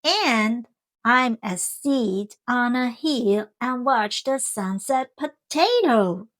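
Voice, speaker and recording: A woman voicing an earnest, cheerful couch potato in a storybook, with expressive, drawn-out delivery. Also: on the high side, dry recording. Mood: proud.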